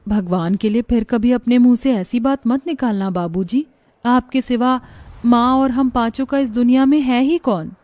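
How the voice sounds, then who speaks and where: A loving young village daughter in a cartoon story, voiced by a woman. She speaks earnestly and with devotion, in a fairly high voice. The recording is dry and close. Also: moderate pace, pauses often, darkish tone, no echo, lightly acted. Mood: tearful. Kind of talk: dubbed cartoon drama.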